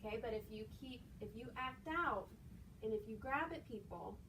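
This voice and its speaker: A woman's voice: a little high, animated, normal speed. A woman acting an earnest new nurse in an amateur skit, reassuring and repeating herself to soothe. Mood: soothing. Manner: reassuring, repetitive, checking with "okay". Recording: distant microphone, noisy room.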